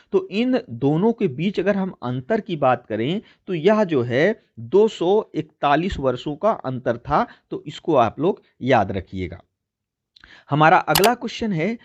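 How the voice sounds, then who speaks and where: A man's voice: sits high, darkish tone, highs cut off. A man teaching an exam-prep lesson, addressing listeners as friends and repeating key points as he explains. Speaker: teacher hosting a history quiz channel.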